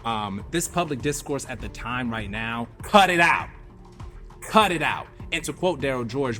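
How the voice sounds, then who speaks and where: A male radio host with a bright, forceful voice at an ordinary pitch delivers casual hot-topic commentary. He is theatrical and emphatic, often repeating phrases for effect, with music running underneath. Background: music under the talk.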